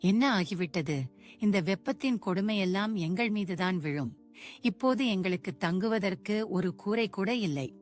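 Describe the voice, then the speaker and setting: An anxious village mother and wife, voiced by a woman speaks in a fretful, lamenting way. Her voice sits at a middle pitch, with soft music beneath it. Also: moderate pace, lightly acted. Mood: distressed. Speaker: voice actor. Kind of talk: dubbed cartoon acting. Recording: music bed behind.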